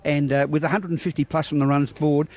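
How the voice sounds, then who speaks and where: An Australian man reporting on local sport in a fairly high male voice. He talks quickly, putting questions in formal, wordy broadcast phrases. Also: steady tone, a little mellow.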